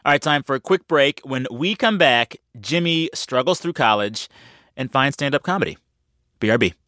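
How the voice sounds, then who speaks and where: A male host leading a casual studio interview, enthusiastic and quick to laugh. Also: mid-range voice, expressive, pushed and bright, no room sound.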